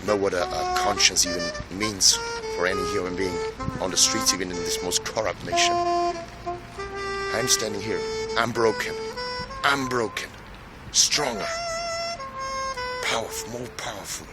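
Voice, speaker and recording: An Austrian man, a native German speaker, delivering a solemn, grandiose, halting monologue with emphatic repetition, outdoors on a city square. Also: lowish voice, loud music behind the voice, low-quality recording. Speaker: speaker in a self-made video statement. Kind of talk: impassioned declamatory monologue.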